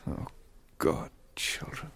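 A man playing a brusque, commanding English gentleman with a kind streak in a radio drama, with an English accent. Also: slow pace, whispered. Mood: wry.

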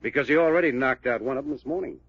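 An American actor playing a bossy, wisecracking adventurer in an old-time radio drama, commanding and sardonic. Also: male voice, medium pitch, rapid-fire, husky, no echo, close to an everyday voice. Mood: persuasive. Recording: muffled vintage radio recording.

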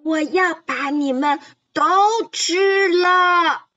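A woman's voice: extremely high pitch, very slow, a bit bright, far from the mic, lo-fi sound, full character voice. A woman doing a whiny little quokka. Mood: playful. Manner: whiny, cries out, defiant. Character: small cute quokka wanting to seem fierce.

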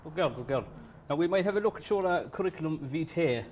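A man's voice: fairly high pitch, animated, fast talker, a little mellow, slight background noise, natural voice. A man playing a crude, patronizing small-town boss, rambling and folksy. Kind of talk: comedy sketch acting.

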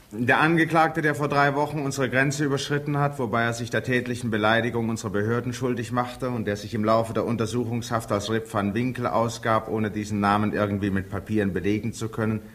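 An actor playing a formal, impersonal judge who declaims officially in a 1950s German radio drama. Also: male voice, mid-range voice, steady tone, a little fast, a bit bright, lightly acted. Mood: solemn, official. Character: formal, impersonal male judge reading a verdict.

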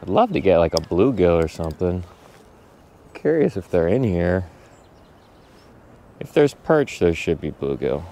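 A man filming himself fishing outdoors, chatting casually to the camera and muttering to himself with sudden excited bursts. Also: deep voice, a little slow, long pauses, slight background noise. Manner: relaxed, mutters to himself, excited bursts when a fish bites. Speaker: angler filming his fishing trip. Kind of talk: casual self-narrated fishing vlog. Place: outdoors by a brook from a small boat.

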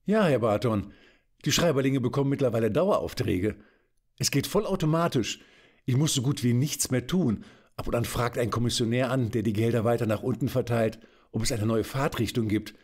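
A man's voice: medium pitch, a little fast, a little mellow, lightly acted. Man playing an affected, effeminate aristocratic count. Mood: self-satisfied. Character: effeminate, war-obsessed Prussian count, doting on tin soldiers. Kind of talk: German audio drama acting.

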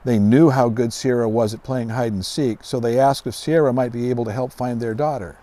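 A middle-aged man reading a children's storybook aloud outdoors in a deliberate, expressive way. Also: medium pitch. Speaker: guest author reading own children's book. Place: outdoors on a grassy lawn by trees.

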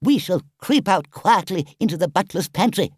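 A man's voice: high-pitched, lively intonation, normal speed, slightly rough, no room sound, studio quality, pitched above the performer's own voice. Man voicing a grumpy, emphatic storybook mole. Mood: eager. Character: grumpy, earnest male mole.

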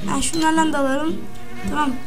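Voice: high voice, level tone, a little fast, loud music behind the voice. A gaming video host giving casual, chatty commentary, often repeating words over and over. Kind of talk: casual let's-play commentary.

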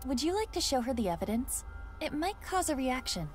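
A woman's voice: sits high, very animated, brisk. A woman voicing a composed, inquisitive female AI investigator in a video game, speaking precisely and questioningly. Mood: composed, probing. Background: video game music.

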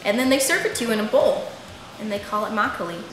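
A woman in her twenties, an exchange student, giving animated, wry interview answers. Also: medium pitch, quick pace, roomy sound, a crowd in the background, poor audio.

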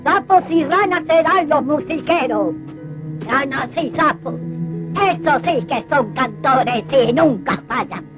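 A woman's voice: sits high, lively intonation, slow pace. An actress playing a sardonic Argentine rural witch in a film drama, chanting her phrases with repetition. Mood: sly, festive. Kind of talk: theatrical film acting. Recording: dull old film soundtrack with music.

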